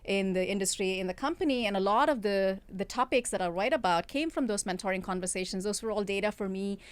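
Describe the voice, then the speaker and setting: A woman's voice: mid-range voice, fast, smooth, no room sound. A middle-aged woman from South India with an Indian accent, speaking earnestly and articulately as an interview guest, telling her own story. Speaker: interview guest, tech executive and author. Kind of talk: conversational interview, personal storytelling.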